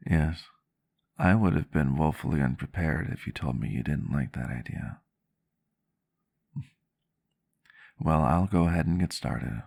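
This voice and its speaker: A man playing a gentle, courteous vampire doctor in an ASMR roleplay, soft-spoken, caring and formal in his wording, close to the mic. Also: rumbling low, normal speed, vocal fry, dry recording, heavily acted. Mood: fond, cheerful. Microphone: close microphone, dry sound.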